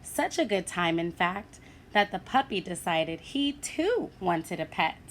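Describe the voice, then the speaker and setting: A woman teacher reading a picture book aloud to children, animated and asking her listeners questions. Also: fairly low pitch, measured pace, a little hiss or hum.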